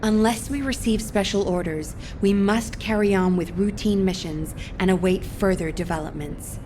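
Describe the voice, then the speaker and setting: Woman playing a brisk headquarters commander, mid-range female voice, engine rumble in the mix. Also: natural voice. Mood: serious. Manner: brisk, businesslike briefing tone. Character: composed female headquarters officer. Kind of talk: video game cutscene voice acting. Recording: noisy, rumbling backdrop.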